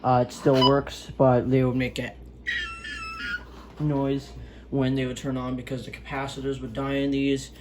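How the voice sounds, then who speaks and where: A man's voice: medium pitch, monotone, unhurried, background noise. A man giving a casual, unscripted product review in a hesitant, rambling way, on an amateur home recording. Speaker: gadget reviewer.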